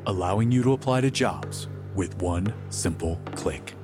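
A man's voice: deep voice, lively intonation, measured pace, music in the background. A man reading scripted, dramatic advert narration.

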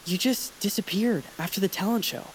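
A man's voice: high voice, a little fast, a little hiss or hum, close to an everyday voice, low-quality recording. A man playing an uneasy teenage boy in an audio drama, hesitant and awkward, trying to keep the peace. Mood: suspicious. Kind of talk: audio fiction drama acting.

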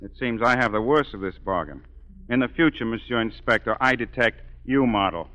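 Bright-voiced, suave opera gentleman played by a man. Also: mid-range voice, brisk, lightly acted. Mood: smug, ironic. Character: suave, sardonic opera singer, a jealous suitor. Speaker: radio drama actor. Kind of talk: 1940s radio acting, narration and dialogue. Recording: 1940s radio broadcast, hall reverb, music.

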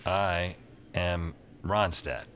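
A wry, weary noir hero in an audio drama. His low voice is deadpan and sardonic, narrating and trading dry lines over faint noise. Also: very slow, full character voice. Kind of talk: first-person noir narration, fiction podcast acting.

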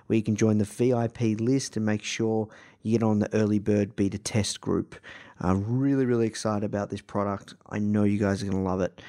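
An Australian man with a slightly croaky voice, introducing a podcast episode in a casual, enthusiastic, informal way. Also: fairly low pitch, mellow. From Melbourne, Australia. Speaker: podcast host.

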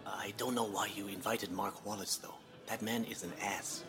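A man's voice: fairly low pitch, steady tone, normal speed, a bit bright, soft background music, close to an everyday voice. A man playing a genial, proud father in his fifties in a naturalistic audio drama, wry and courteous. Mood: teasing.

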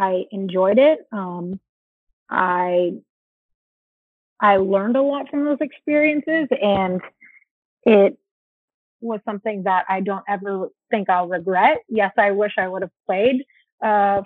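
A young adult woman from the United States, talking casually as an interview guest, relaxed and chatty with many fillers and restarts. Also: medium pitch, no room sound. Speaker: interview guest, former soccer player. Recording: dry tinny phone-like line.

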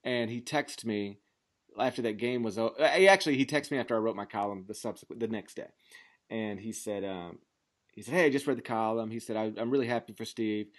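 A man's voice: fairly low pitch, a little fast. An American man in his thirties hosting a sports podcast in casual chat, rambling emphatically and leaning on filler words like "like". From Memphis area, southern United States. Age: 30s. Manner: rambling, emphatic, says "like" constantly.

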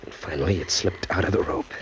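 A man's voice: rumbling low, lively intonation, fast talker, gravelly, a little hiss or hum, exaggerated voice. An actor playing a hard-boiled male killer in a 1940s radio drama, tough and clipped, narrating his own crimes. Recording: old radio recording with music.

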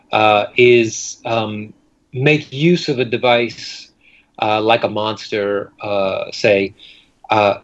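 A man speaking as a writer being interviewed, thoughtful and halting, with frequent reflective pauses. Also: mid-range voice. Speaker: guest fiction writer. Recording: dull lo-fi recording.

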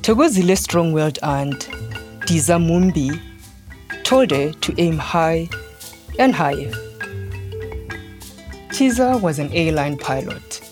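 Lowish female voice, storybook podcast narrator, deliberate phrasing, music playing behind. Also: animated. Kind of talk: scripted children's storytelling narration.

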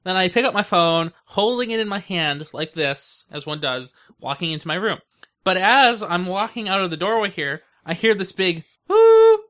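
Bright, higher-voiced man chatting casually. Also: very animated, moderate pace. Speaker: podcast co-host. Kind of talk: casual chat.

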